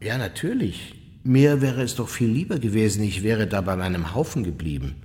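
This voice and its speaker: Husky, low-voiced unrepentant old officer. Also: male voice, expressive, moderate pace, warm tone. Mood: self-justifying. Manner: blunt, matter-of-fact, self-justifying. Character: ageing, unrepentant former SS officer recalling the war. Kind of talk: dramatised memoir monologue in a radio documentary.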